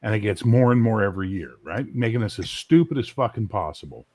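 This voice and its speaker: A man with a low voice talking in a casual podcast chat, ranting and swearing with strong opinions. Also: fairly quick, dry recording. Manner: animated, swearing, opinionated rants.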